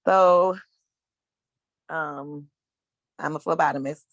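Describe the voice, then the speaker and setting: Panelist, male, 30s, casual and blunt, slangy, gravelly texture. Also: on the high side, fairly even intonation, slow pace, fairly bright tone, dry recording, dull audio. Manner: frank, casual, slangy, hesitant fillers. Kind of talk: personal testimony on a panel.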